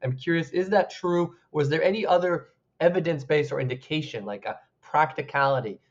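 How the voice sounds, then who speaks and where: Hesitant podcast host on a dull line. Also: male voice, on the high side, a little fast. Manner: hesitant, self-effacing questions. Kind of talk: interview questions.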